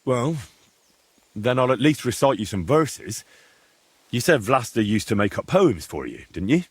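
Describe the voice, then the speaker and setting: Husky, kindly young medieval lad. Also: male voice, medium pitch, sing-song, unhurried, no echo. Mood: helpful, patient. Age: young adult. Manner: gentle, coaxing, slightly hesitant. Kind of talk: dramatic video game dialogue.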